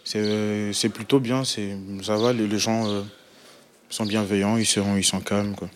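Teen boy, low-pitched, halting street interviewee, faint outdoor hiss. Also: little pitch movement. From Democratic Republic of the Congo. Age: teens. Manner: hesitant, many restarts and fillers.

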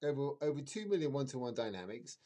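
A middle-aged man explaining things conversationally as a podcast guest, emphatic, with dramatic pauses and repetition. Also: medium pitch, very animated, warm tone. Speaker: astrologer guest.

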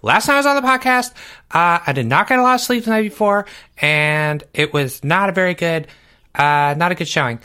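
Man as naive schoolboy, comic podcast character, eager, rambling, bright, raised pitch. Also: animated, brisk, clean tone.

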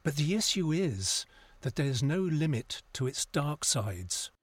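A man's voice: on the high side, sing-song, slow, slightly rough, dry recording, heavily acted. A man playing a scathing, articulate cultural critic debating on a broadcast news panel in an audio drama. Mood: earnest, critical. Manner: articulate, opinionated.

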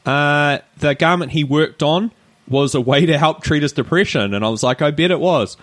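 A man's voice: on the high side, normal speed, a bit bright, no echo. Teasing New man, dry sound. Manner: joking and teasing, laughs often. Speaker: podcast co-host. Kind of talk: casual chat.

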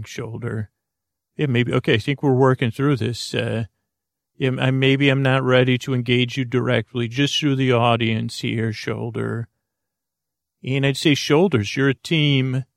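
A man with a low, gravelly voice rambles through a bedtime monologue, stumbling over restarts and fillers as he jokes gently. His voice sits close and dry, with no room sound around it. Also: no echo. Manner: halting, full of fillers and restarts, gently joking. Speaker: sleep podcast host.

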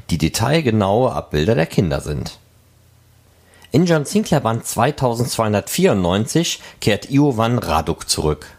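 A man with a fairly low voice presents scripted podcast announcements. His intonation is very animated, swinging clearly up and down as he reads out the news. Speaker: podcast host reading fan news.

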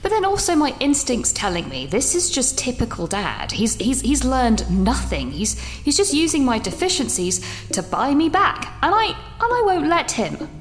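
An actress playing an anxious young student who rambles through a voice note with many restarts, half whispering at first. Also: female voice, sits high, wildly swooping pitch, normal speed, hardly any pauses, big-room sound, faint music behind. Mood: upset, rambling. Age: young adult. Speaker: university student leaving a voice note. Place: small echoey toilet room.

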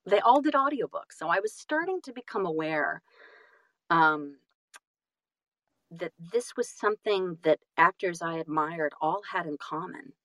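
A woman's voice: on the low side, lively intonation, unhurried, a bit bright, no echo, low-quality recording. A woman telling stories casually as an interview guest, self-deprecating and emphatic in manner. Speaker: guest, audiobook narrator and actress.

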